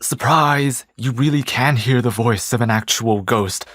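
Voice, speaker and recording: A man's voice: medium pitch, measured pace, fairly bright tone, no room sound, studio quality. A young man playing an earnest, inquisitive friend in an audio drama. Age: young adult. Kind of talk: scripted audio drama dialogue.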